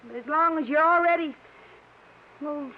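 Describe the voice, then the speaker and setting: Woman playing a high-voiced feisty young sweetheart. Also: moderate pace, close to an everyday voice. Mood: pert, insistent. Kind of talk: old-time radio drama acting. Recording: tinny, hissy old radio recording.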